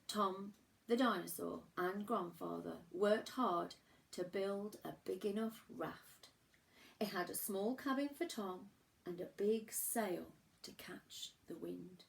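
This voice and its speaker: A woman reads a picture book to young children in a slow, creaky voice of middling pitch. She narrates like a primary school teacher, leaning on key words and pausing for drama. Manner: measured, dramatic emphasis and pauses for young listeners. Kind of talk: expressive children's storybook narration.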